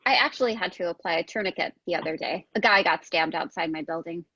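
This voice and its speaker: A woman's voice: on the low side, fast talker, pushed and bright, breathy edge, no room sound, highs cut off, full character voice. A woman playing a caring, independent young woman in an amateur sitcom table read. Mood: earnest.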